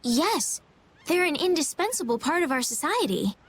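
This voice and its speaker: A woman voicing a gentle, earnest young princess in a video game, polite and caring. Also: high voice, very animated, normal speed. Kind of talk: English video game voice acting.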